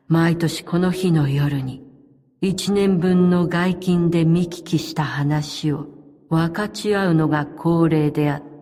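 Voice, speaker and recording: Game role: calm, loyal female elite operative. Lowish voice, very unhurried, restrained and terse delivery. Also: in a large hall. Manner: formal, measured, restrained, terse. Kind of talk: scripted game character voice lines.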